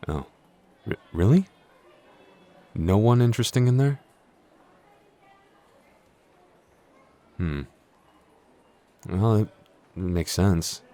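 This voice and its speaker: A man's voice: lowish voice, lively intonation, slow, long pauses, dark tone. A man playing a shy, clumsy giant in a roleplay audio, stammering, hesitant and constantly apologetic. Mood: flustered, shy. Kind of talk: intimate roleplay audio acting.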